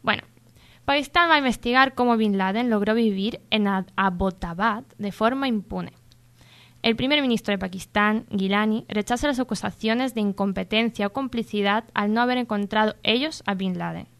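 A woman's voice: mid-range voice, moderate pace, a bit bright. A woman contributor reading the news on an informal radio show, conversational and correcting herself when she stumbles. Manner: conversational, stumbles and self-corrects while reporting.

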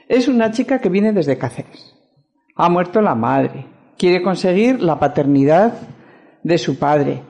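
A woman's voice: lowish voice, gravelly, mellow, lo-fi sound. A woman with a Spanish accent playing a firm, defensive lawyer in an amateur radio soap opera. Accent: Spain.

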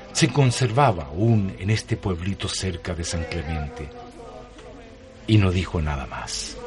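A Chilean man narrates a radio drama in a low voice with lively, swooping intonation. His storytelling is solemn and deliberately phrased, and music plays behind him.

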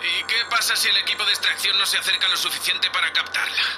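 A man as a high-voiced, nervous spaceship crewman. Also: steady tone, quick pace, music in the background, lightly acted. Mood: anxious. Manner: stammering, hesitant, breathy sighs. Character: nervous, hapless young male spaceship crewman, eager underling. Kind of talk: Spanish audio fiction, space drama.

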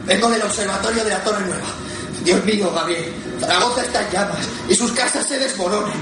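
A man's voice: high-pitched, brisk, lightly acted. Guy doing a panicky scout in a radio play, urgent, distant with heavy echo. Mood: alarmed. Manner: urgent, dramatic. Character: alarmed scout reporting on a zombie siege. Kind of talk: theatrical radio drama. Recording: very echoey, distant, noisy.

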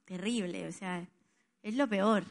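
A woman's voice: mid-range voice, slow pace. A middle-aged woman from Spain with an Italian tinge to her accent, addressing a congregation informally and jokingly as a visiting pastor. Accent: Spanish with an Italian tinge. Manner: joking, chatty.

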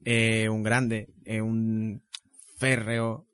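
A man's voice: on the low side, flat delivery, drawn-out words, a bit bright, smooth, no echo. A man presenting casually as a panellist on a radio show.

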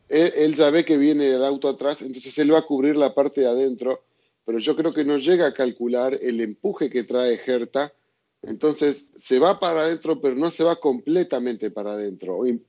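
A man's voice: mid-range voice, mellow. A man breaking down a racing maneuver in a casual chat, explaining in a methodical, technical way. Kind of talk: casual technical race analysis. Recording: dull lo-fi sound.

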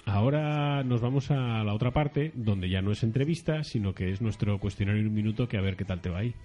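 A man's voice: fairly low pitch, brisk, mellow, dull audio. A man hosting an interview with casual questions and chat. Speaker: interview host.

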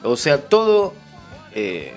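A man's voice: mid-range voice, wildly swooping pitch, slow, soft background music. Argentine man in casual discussion. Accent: Argentine, Rioplatense. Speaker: podcast guest, dubbing actor.

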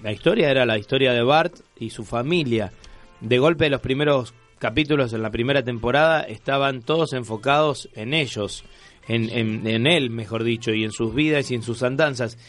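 An Argentine man hosts a relaxed magazine show with music playing under his voice. He talks casually with co-hosts, rambling through explanations and slipping in jokes. His pitch sits in the middle for a man. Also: moderate pace. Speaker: radio show host.

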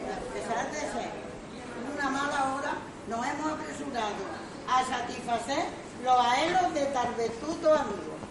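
A woman's voice: sits high, moderate pace, lightly acted. A woman playing a pompous, prim lady in an amateur radio comedy, speaking in an affected, florid way. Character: pompous, prim old-fashioned lady in a farce. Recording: echoey hall, far off, crowd murmur.

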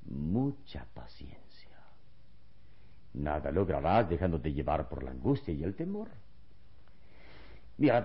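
A man playing a serene, wise adventure hero in a vintage radio serial, speaking with calm, deliberate reassurance. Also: low-pitched. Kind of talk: vintage Spanish-language radio serial acting. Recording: dull, lo-fi old radio serial recording.

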